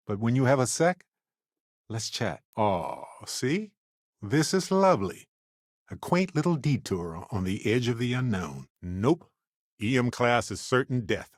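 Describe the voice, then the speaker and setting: A man playing a wry, warm-hearted space explorer companion in a video game, with playful, conversational asides. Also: medium pitch, no echo, clean studio sound. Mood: wry, teasing.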